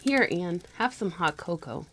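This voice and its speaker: A woman playing a gentle, practical mother in a family audio drama, speaking softly and caringly. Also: on the low side, expressive, unhurried, noisy background. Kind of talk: amateur audio drama acting. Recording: music bed under the voice.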